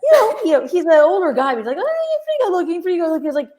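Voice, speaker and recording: A woman co-host telling a story in a lively, giggly, quick way during casual chat. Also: very high-pitched.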